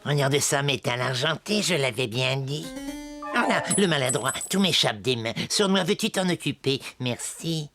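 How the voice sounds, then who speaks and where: A man's voice: medium pitch, animated, normal speed, music in the background, close to an everyday voice. Sly, swooping scheming goblin played by a man. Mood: slyly polite. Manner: sly, theatrical, lecturing. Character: scheming mischievous male goblin, bossy and gleeful. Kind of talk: French cartoon dub.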